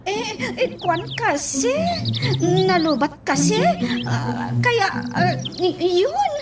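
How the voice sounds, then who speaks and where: A woman's voice: very high voice, big pitch swings, takes it slowly, exaggerated voice. A woman playing a flustered, evasive sweetheart in an Ilocano radio drama, hesitant and exclaiming. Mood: flustered, coaxing. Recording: distant mic, music behind.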